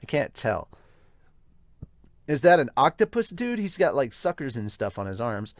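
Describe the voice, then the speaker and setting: A man's voice: medium pitch, no room sound, clean studio sound. A man casually reacting to a manga chapter as a podcast host, laughing and restarting as he goes, with hiccups.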